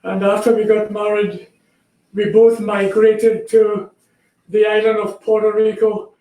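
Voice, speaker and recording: Slow, high-voiced elderly man, echoey. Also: little pitch movement, warm tone. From Trinidad. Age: 80s. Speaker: guest, recovered patient. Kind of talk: conversational interview answers.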